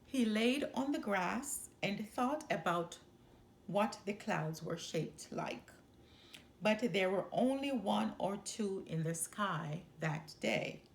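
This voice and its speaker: A woman reading a children's story aloud as a storyteller, with deliberate, expressive phrasing. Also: medium pitch, vocal fry, a little hiss or hum. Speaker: storyteller, channel host.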